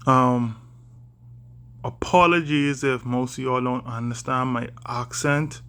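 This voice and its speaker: Slow, halting Bahamian man. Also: medium pitch, fairly even intonation, bright, forceful tone, clear, resonant tone. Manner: halting, many fillers, deliberately slow and careful. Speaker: vlogger. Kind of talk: casual talk to camera.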